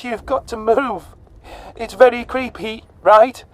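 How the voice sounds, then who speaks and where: A man's voice: extremely high pitch, fairly even intonation, takes it slowly, a bit bright, pitched above the performer's own voice, exaggerated voice. A man voicing a panicky alien soldier, desperate and overcome with grief, in an audio drama. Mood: tearful pleading. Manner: blubbering, screeching, desperate. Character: anguished, panicky male alien soldier grieving his human friend.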